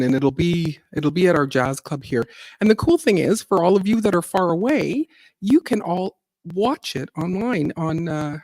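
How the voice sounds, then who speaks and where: A middle-aged woman with a low, deep voice, a singer hosting a casual livestream chat, rambling along with fillers and little laughs. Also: darkish tone, no room sound. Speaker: singer and gardening channel host.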